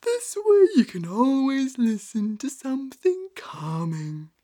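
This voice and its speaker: A woman's voice: high voice, very animated, slow pace, warm tone, dry recording, studio quality, pitched above the performer's own voice, heavily acted. A woman voicing a caring, reassuring little friend in a bedtime story.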